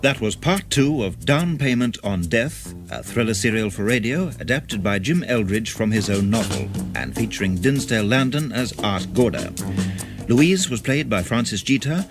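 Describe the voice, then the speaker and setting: Formal male radio announcer over loud music. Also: medium pitch, big pitch swings. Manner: measured, formal. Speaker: continuity announcer reading credits. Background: loud theme music.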